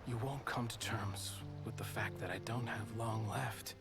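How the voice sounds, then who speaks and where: An actor playing a gentle, resigned, ailing young man in a video game, with a soft, wistful, breathy delivery. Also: on the low side, steady tone, a little slow. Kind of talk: dramatic video game voice acting. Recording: music playing behind the voice.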